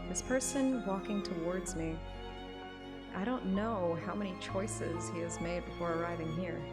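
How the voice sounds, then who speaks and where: A woman live-streaming a game, playful and expressive, switching between casual reactions and reading dialogue aloud. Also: fairly low pitch, unhurried. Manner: playful, expressive, swears freely. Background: game music.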